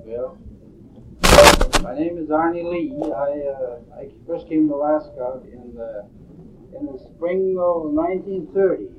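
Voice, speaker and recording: An older man speaks slowly and haltingly, filling pauses with "uh" as he recalls his past. His voice comes through a narrow, tinny old tape recording. A faint hiss sits underneath. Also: a little high. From Norway. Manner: halting, many pauses and fillers. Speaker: interviewee. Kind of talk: oral history interview.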